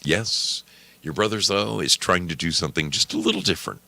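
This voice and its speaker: A man's voice: sits low, expressive, brisk, gravelly, pushed and bright, no room sound. A man hosting a radio show, chatting casually with listeners in a folksy, theatrical manner. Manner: folksy, theatrical, addresses listeners as friends and dear brothers. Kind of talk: casual live chat with listeners.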